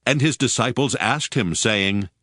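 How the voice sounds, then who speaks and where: A man narrating dramatized scripture in a measured, formal storytelling manner. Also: mid-range voice, sharp tone. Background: music.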